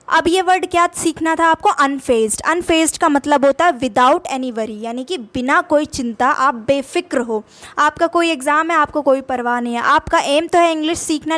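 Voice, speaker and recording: Young Indian woman, high voice, Indian accent, patient English tutor who keeps rephrasing. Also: a bit bright. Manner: explains patiently, repeats meanings in Hindi and English. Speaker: English vocabulary teacher. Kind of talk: casual spoken-English lesson.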